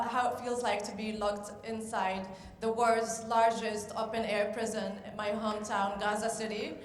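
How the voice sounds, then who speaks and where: A woman's voice: a little high, a little hiss or hum. A woman from Palestine gives an impassioned activist speech, often restarting her phrases. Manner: passionate, with frequent restarts. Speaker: activist speaker. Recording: echoey hall, crowd behind.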